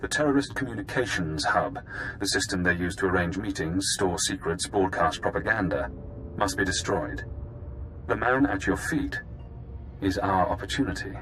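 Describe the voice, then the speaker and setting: A calm intelligence technician from a video game. His voice sits very low, and he lays out each point with clinical, detached precision. A faint noise runs underneath. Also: normal speed, poor audio. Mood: cool, calculating. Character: calm, clinical male intelligence handler and tech expert. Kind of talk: scripted game cutscene acting.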